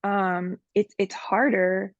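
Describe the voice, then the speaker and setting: A woman's voice: mid-range voice, level tone, slow pace, no echo, highs cut off, soft background music. A woman from Miami, a podcast guest, speaking earnestly and candidly with frequent fillers. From Miami, USA. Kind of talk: personal interview talk.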